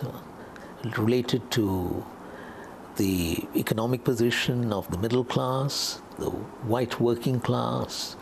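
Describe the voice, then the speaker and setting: Creaky low-voiced older man, halting. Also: slight background noise. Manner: measured, halting, explanatory. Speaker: political analyst interviewee. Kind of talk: unscripted interview commentary.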